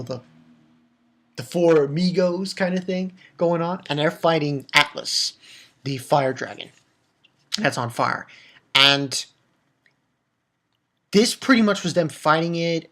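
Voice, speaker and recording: A man's voice: a little high, measured pace, pauses often, a bit bright. A man giving an unscripted, casual review as host, blunt and emphatic, swearing freely and rambling through repeated false starts. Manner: ranting, emphatic, blunt and profane. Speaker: manga review video host. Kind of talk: casual off-the-cuff review and recap.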